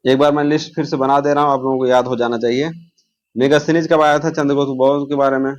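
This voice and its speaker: A man's voice: mid-range voice, little pitch movement, fast, highs cut off. A man teaches an informal exam revision lesson, repeating key terms and answering his own questions.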